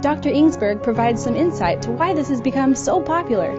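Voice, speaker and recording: A woman's voice: sits high, lively intonation, a little fast. A woman reading polished, enthusiastic scripted narration for a TV health segment. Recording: lo-fi with clear music bed.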